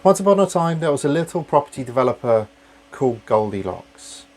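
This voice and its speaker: A man's voice: mid-range voice, big pitch swings, a little fast. A man in his thirties, a channel host telling a bedtime story in a sing-song storyteller's manner, recorded seated at his home office desk. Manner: sing-song storyteller, lifting his voice on fairy-tale phrases.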